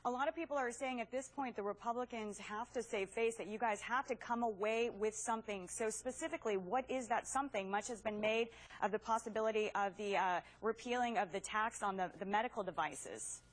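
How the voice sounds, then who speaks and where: Higher-voiced American woman, TV news host. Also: a little fast, few pauses. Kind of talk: broadcast news presenting and interviewing.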